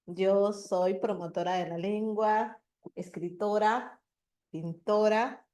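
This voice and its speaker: Slow, deliberate woman presenting. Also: mid-range voice, fairly even intonation, a little mellow. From Honduras. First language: Garifuna. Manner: slow and deliberate, teacher-like with call and response. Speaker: guest speaker, language promoter. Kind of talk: presentation to an audience.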